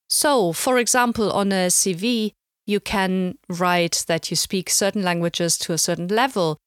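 A woman, German her first language, talks through her language learning in an unhurried, explanatory way. Her voice sits a little low. The recording is close and dry, with no room sound. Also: no room sound. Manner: measured, explanatory, slows to spell out terms. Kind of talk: reflective podcast narration.

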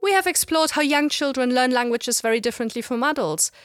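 A middle-aged woman with a slight German accent, giving a measured, explanatory lecture. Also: high-pitched, animated, faint music behind. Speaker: language educator. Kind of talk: scripted lecture narration.

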